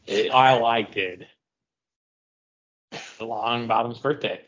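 A man's voice: mid-range voice, extremely slow, fairly bright tone. Guy hosting a podcast, just chatting, medium voice, slightly dull sound. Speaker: podcast host. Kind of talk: casual chat. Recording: dull lo-fi audio.

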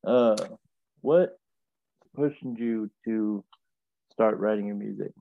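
A man's voice: on the low side, takes it slowly, gravelly, phone-quality sound. A man hosting a podcast interview, asking easygoing questions and giving short nods of agreement. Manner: brief affirmations, easygoing questions. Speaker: podcast host. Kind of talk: casual interview.

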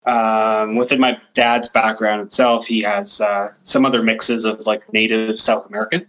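A man from Guyana who grew up partly in Canada, talking reflectively as an interview guest and pausing to find words. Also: lowish voice, flat delivery, a bit bright, low-quality recording. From Guyana, moved to Canada as a child. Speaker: podcast guest.

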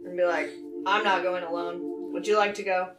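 Low-voiced woman, distant and roomy. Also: flat delivery, normal speed, over loud music. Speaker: player. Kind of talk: casual tabletop roleplay.

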